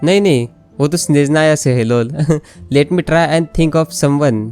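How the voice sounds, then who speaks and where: A man's voice: fairly high pitch, rapid-fire. A young Indian man, a native Hindi speaker, doing casual gameplay commentary and slipping between Hindi and English. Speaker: gaming video host. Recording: faint game music behind.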